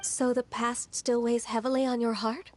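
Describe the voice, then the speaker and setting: A woman playing a gentle, dutiful young secretary in a video game, polite and earnest. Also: a little high, flat delivery, moderate pace, slight background noise, natural voice. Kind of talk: scripted video game dialogue.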